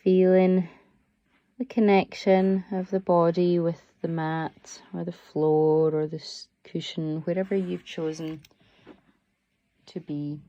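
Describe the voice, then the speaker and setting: Slow, low-voiced woman guiding meditation. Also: flat delivery, mellow, dry recording, dull audio. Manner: soothing, unhurried, permissive. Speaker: yoga nidra teacher. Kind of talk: guided meditation, unscripted gentle instruction.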